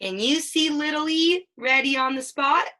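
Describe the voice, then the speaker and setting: Bright-voiced mother reading a picture book aloud. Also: high-pitched. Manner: sing-song storytelling, drawn-out sound words. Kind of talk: children's read-aloud with questions.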